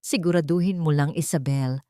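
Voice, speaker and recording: A woman's voice: low voice, brisk, warm tone, no echo, clean studio sound, natural voice. A woman voicing a cold, threatening male creditor in an audio drama.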